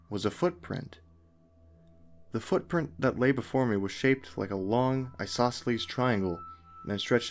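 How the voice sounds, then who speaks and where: A man reading a scripted first-person horror story in a measured way, with dramatic pauses. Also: lowish voice. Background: music.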